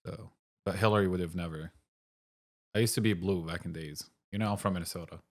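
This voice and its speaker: A man's voice: sits low, steady tone, brisk. A middle-aged man from Syria, a cafe owner giving blunt, short answers in a casual interview.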